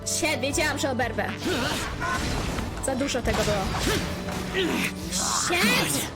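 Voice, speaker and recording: A woman's voice: sits high, animated, a little slow. A young Polish woman giving casual, informal gameplay commentary, reacting aloud as she plays. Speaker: gaming video creator. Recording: music playing behind the voice.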